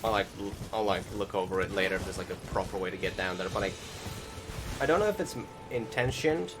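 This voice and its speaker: A man's voice: low-pitched, fast talker, noisy background. A man giving casual, rambling and hesitant commentary over a video game, swearing now and then. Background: game music behind the voice.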